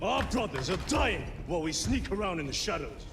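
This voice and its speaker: A man's voice: sits high, big pitch swings, fairly quick, noisy background. A man voicing a suspicious, hot-headed armored space soldier in a dramatic, accusatory manner for a video game. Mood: accusing, angry. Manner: intense, accusatory.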